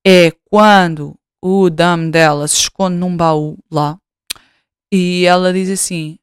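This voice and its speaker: A woman's voice: lowish voice, steady tone, takes it slowly, clear, resonant tone, no echo, clean studio sound. A woman in her twenties with a European Portuguese accent, hosting a podcast in casual chat, rambling, swearing freely and mocking herself. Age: 20s. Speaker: podcast host.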